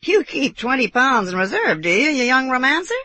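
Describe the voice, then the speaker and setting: A woman's voice: sits high, very animated, brisk, pushed and bright, pitched above the performer's own voice, exaggerated voice. A woman voicing a jovial, exclamatory English uncle who is amused and teasing, in a storybook reading.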